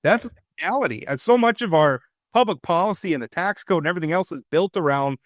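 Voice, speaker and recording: Casting: male co-host, talk show, emphatic, opinionated, slightly higher voice, dry studio mic. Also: lively intonation, fast talker, no room sound. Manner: opinionated, emphatic, many restarts. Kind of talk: political talk show discussion.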